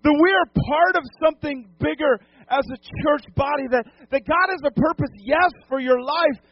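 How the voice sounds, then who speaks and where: A man's voice: extremely high pitch, moderate pace, no echo, lo-fi sound. A man from New England preaching a sermon as pastor, emphatic, repeating phrases and putting questions to the congregation. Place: church sanctuary.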